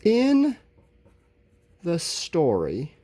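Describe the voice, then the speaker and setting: A man's voice: a little high, wildly swooping pitch, drawn-out words, dark tone. A man teaching a recorded lesson, talking informally to his students and explaining things in a candid, conversational way.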